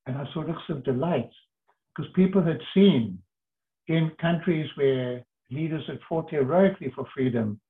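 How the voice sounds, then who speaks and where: An older man from South Africa, a lawyer, telling his story in an earnest, measured way with pauses. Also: a little high. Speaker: interviewee, lawyer. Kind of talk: reflective storytelling. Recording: tinny phone-like line.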